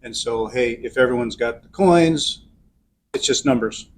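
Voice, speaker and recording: A man's voice: medium pitch, unhurried. A man co-hosting a podcast, chatting casually, laughing and chiming in with his own examples. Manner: chatty, laughs often, chimes in. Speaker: podcast co-host.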